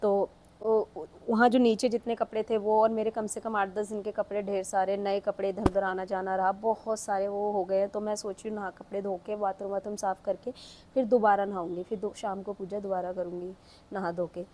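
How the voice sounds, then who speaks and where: A woman's voice: medium pitch, little pitch movement, a little fast, dark tone. A woman talking casually and chattily to her viewers as she vlogs her daily home routine. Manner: chatty, addresses viewers as friends and family.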